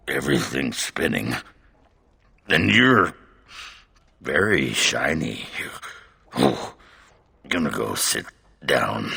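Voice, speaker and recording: Boorish drunken dwarf warrior for a fantasy game: very gravelly, low, rowdy and slurred. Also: male voice, bright, forceful tone, full character voice. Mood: drunken, woozy. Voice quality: gravelly, raspy. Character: crude, boisterous, drunken male dwarf warrior. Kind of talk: video game voice acting.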